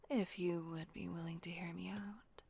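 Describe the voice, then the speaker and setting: A woman voicing a gentle, protective female vampire caretaker in a whispered audio roleplay, soothing and coaxing. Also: lowish voice, fairly even intonation, normal speed. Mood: tender whisper. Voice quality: soft breathy whisper.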